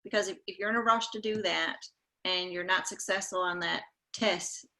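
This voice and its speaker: A woman's voice: on the low side, fairly even intonation, normal speed, bright, forceful tone. A woman giving casual, unscripted advice as a panelist. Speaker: webinar panelist.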